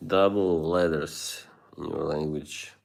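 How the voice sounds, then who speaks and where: A man's voice: a bass voice, fairly even intonation, slow, creaky. A man with an Eastern European accent hosting a casual livestream, chatting with viewers, laughing often and swearing casually. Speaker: livestream host.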